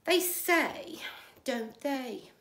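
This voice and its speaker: A woman's voice: high voice, lively intonation, drawn-out words, fairly bright tone, slight background noise, heavily acted. A woman acting a jaded middle-aged housewife in a wry, confiding, gossipy comic monologue. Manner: wry, confiding, gossipy, with rhetorical tag questions. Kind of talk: conversational comic stage monologue. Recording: echoey room.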